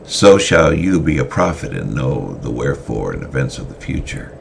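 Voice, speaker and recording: A wise, solemn old mentor of small stature speaks in archaic phrases, his voice very deep and gravelly, as a storyteller puts him on. A faint hiss runs under the reading. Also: sing-song, normal speed, full character voice.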